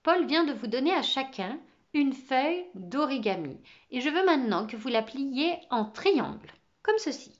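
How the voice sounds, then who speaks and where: A woman's voice: high voice, very animated, moderate pace, highs cut off, pitched above the performer's own voice. A woman reading a children's storybook, voicing a cheerful, exuberant, eccentric female teacher with sing-song, enthusiastic exclamations.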